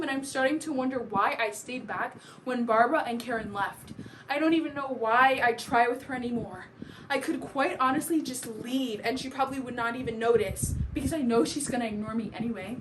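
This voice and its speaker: A woman's voice: high-pitched, voice pushed up, natural voice. A young woman playing a bitter, wounded, neglected daughter in an emphatic, aggrieved stage soliloquy. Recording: clearly noisy amateur recording.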